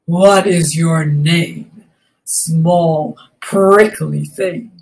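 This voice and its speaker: A woman voicing a big, unkind rhinoceros in a children's story, by turns gruff and helplessly giggly. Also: sits low, steady tone, very slow, a little mellow, pitched below the performer's own voice, full character voice, poor audio. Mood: gruff, menacing. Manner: growly and menacing, or breathless with laughter. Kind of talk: animated children's storybook reading.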